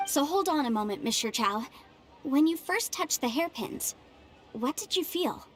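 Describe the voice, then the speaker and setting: A shrewd young female legal adviser with a high voice and lively, swooping delivery. She is articulate, formal and sure of herself, like a game heroine. Music plays loudly behind her. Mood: thoughtful, businesslike. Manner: brisk, articulate, formal phrasing. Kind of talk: video game voice acting. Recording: game dialogue under loud background music.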